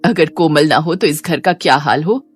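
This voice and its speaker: A woman puts on an older widowed mother in a cartoon drama. Her voice sits lower and carries tender reproach as she shields her child. Soft music runs behind. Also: moderate pace, natural voice. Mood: protective. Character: gentle, protective older widowed mother, pleading.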